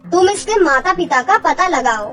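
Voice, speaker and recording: A woman's voice: very high-pitched, lively intonation, normal speed, a bit bright, faint music behind. A woman voicing a wise, caring fairy queen who advises firmly in a cartoon fairy tale. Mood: concerned, advising.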